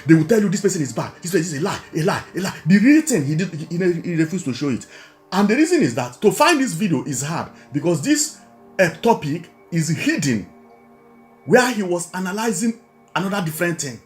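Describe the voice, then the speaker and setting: A Nigerian man speaks in a high, very animated voice as he comments on politics. He stresses and repeats his points and throws out rhetorical questions, with music playing underneath. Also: fairly quick. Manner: emphatic, repetitive, rhetorical questions. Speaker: online video commentator.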